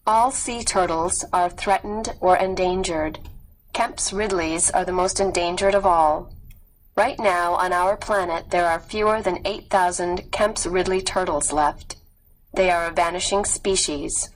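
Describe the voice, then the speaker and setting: A woman reading scripted nonfiction as an audiobook narrator, measured and expressive. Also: on the low side, a little hiss or hum, poor audio.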